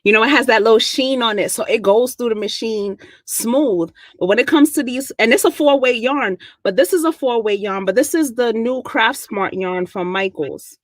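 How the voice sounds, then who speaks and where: A woman's voice: on the high side, normal speed, hardly any pauses, dry recording. A woman from Brooklyn with a New York accent, chatting casually and sassily as a knitting mentor on a livestream. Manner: sassy, chatty, laughs often.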